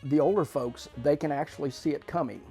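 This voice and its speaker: Gravelly man telling a story. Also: fairly high pitch, a little fast, mellow, faint music behind. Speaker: radio theater member. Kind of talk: interview.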